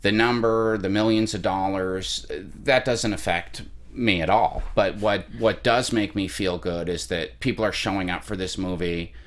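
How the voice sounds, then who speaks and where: A young man, an actor, answering interview questions in an earnest, measured way with some restarts. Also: low-pitched, fairly even intonation, fairly bright tone. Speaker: actor guest.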